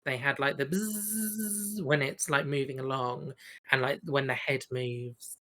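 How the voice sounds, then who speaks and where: A woman's voice: rumbling low, measured pace, a bit bright. A woman co-hosting a podcast, chatting casually about something she follows as a fan, with emphatic opinions. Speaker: podcast co-host. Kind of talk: casual chat, fan review.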